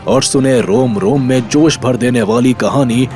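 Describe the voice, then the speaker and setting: A man's voice: mid-range voice, a little slow, music in the background. A man narrating a scripted audio drama in a dramatic storytelling style.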